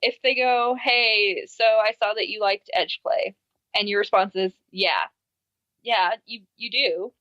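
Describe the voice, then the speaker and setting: Animated woman co-hosting, slightly dull mic. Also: mid-range voice, measured pace, no room sound. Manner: animated, acts out quoted messages, laughs easily. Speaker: podcast co-host. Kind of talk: casual podcast discussion.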